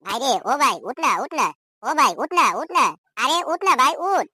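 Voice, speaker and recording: A man voicing an anxious, excitable young man in a comedy cartoon, pleading and exclaiming through a pitched-up, sped-up effect. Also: moderate pace, husky, dry recording, heavily acted.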